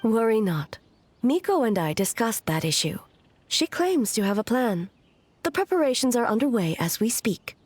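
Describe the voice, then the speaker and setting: A woman voicing a dignified, solemn noblewoman in a video game, speaking in a formal, measured and composed way. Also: on the high side, big pitch swings, a little mellow, slightly rough, no echo. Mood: calm assurance. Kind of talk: video game character acting. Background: background music.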